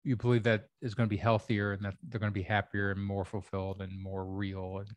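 Man, middle-aged, from Kentucky, podcast host, wry, lowish voice, dry close sound. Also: monotone, brisk, no echo. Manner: wry, musing, joking asides. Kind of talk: casual podcast chat. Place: home room. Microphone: desk microphone on arm.

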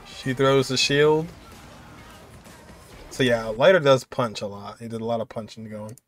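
A man's voice: mid-range voice, a little slow, soft background music. Casual young man reacting. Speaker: reaction video host.

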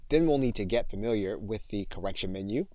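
A man narrating an instructional screen tutorial as a teacher, in deliberate, separated phrases on a close mic. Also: fairly low pitch, expressive, dark tone, dry recording. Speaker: software instructor. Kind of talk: scripted screen tutorial narration with spoken dictation commands.

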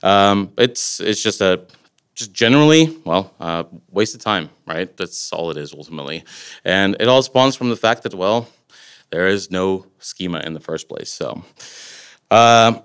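A man's voice: low voice, lively intonation, unhurried, a bit bright, smooth. A man in his forties from Latvia, giving a casual technical conference talk with loose, rambling phrasing and many fillers. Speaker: conference speaker. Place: conference room, indoors. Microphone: podium microphone.

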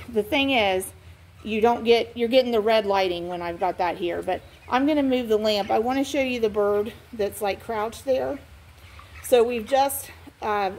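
A woman's voice: fairly high pitch, a little hiss or hum, low-quality recording. A woman poultry farmer explaining floor care as she films inside her chicken barn on a handheld phone, walking listeners through her reasoning. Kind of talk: instructional farm walkthrough. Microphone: handheld phone camera.

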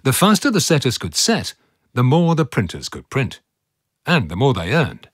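A man's voice: medium pitch, wildly swooping pitch, normal speed, breathy edge, no room sound, studio quality. A man giving scripted narration for a museum audio play, as a storytelling narrator. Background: faint music.

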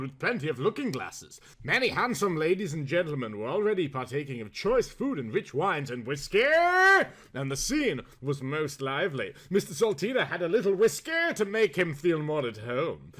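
A man reading a storybook aloud in a theatrical, expressive way. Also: a little high. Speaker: audiobook reader. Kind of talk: dramatized storybook narration.

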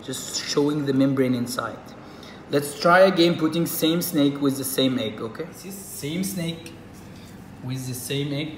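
Egyptian guy, arabic accent, kinda high voice, stop-start explaining, echoey room. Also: slight background noise. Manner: halting, with frequent fillers and restarts. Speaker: reptile keeper. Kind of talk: casual how-to demonstration. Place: indoors at a table.

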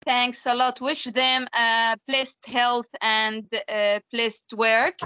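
A woman whose first language is Arabic, presenting a webinar and leading an icebreaker, speaking slowly with many fillers over a video call. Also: a little high, level tone, pushed and bright, dry recording. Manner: slow, hesitant, with many fillers.

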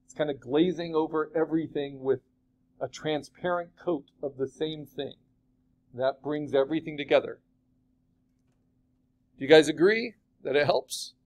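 Chatty male art teacher, higher voice. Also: measured pace, pauses often. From California. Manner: chatty, asks the class questions. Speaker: art instructor. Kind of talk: classroom software demo.

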